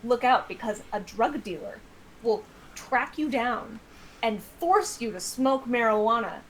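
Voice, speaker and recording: American woman, guest author, higher pitch, animated self-deprecating casual talk, some background noise. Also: measured pace, poor audio. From United States. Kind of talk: casual livestream chat.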